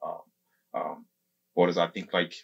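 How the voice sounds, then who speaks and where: Male guest, thirties, studio interview. Deep-set husky voice, casual and explanatory, halting with plenty of filler words. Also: level tone, dull audio. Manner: halting, often says "like" and circles back. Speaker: podcast guest. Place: small indoor podcast studio. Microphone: desk microphone close up, with headphones.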